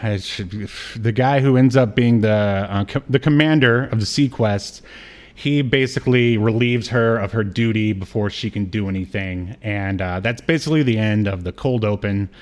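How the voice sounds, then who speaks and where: A man co-hosting a podcast, chatting casually about television in a hesitant, halting way as he recaps. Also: lowish voice. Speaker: podcast co-host. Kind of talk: casual TV review chat.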